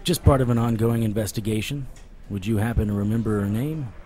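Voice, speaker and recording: An actor as a low-voiced, deadpan private eye. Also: male voice, a little fast, close to an everyday voice. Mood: wry, probing. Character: wry, world-weary male private investigator of the supernatural. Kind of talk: first-person noir narration and dialogue in audio drama.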